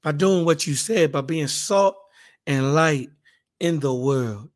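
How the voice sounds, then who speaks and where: A man with a hoarse, raspy voice, hosting a casual Bible podcast and leading a fervent spoken prayer. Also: on the high side, slow pace. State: hoarse, raspier than usual.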